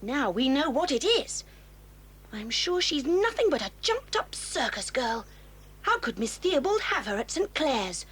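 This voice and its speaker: Haughty, high-pitched, spiteful schoolgirl. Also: big pitch swings, a little slow, fairly bright tone, heavily acted. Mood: nosy disapproval. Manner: prim, haughty, indignant. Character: snobbish, sly, spiteful schoolgirl at boarding school. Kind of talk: 1980s children's audio drama acting.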